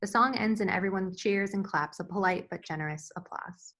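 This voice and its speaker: A woman's voice: medium pitch, fairly quick, fairly bright tone. A woman reading scene directions as scripted narration at a screenplay table read. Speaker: stage directions reader.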